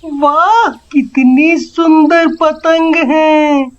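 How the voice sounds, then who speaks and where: Woman doing tiny cartoon village boy, super high voice, slow and earnest, bit muffled recording. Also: steady tone. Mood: wonder. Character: small, earnest, loving five-year-old village boy.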